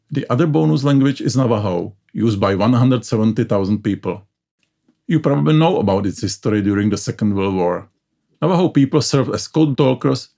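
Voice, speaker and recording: A man's voice: fairly low pitch, a little mellow. Man narrating. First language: Czech. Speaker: educational channel host. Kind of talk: scripted explainer narration.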